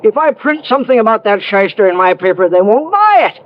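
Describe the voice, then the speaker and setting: An actor playing a suspicious older small-town newspaper editor in a radio drama, agitated and sputtering. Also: male voice, sits high, sing-song, brisk. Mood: exasperated. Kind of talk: 1950s radio drama acting. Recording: dull vintage radio recording.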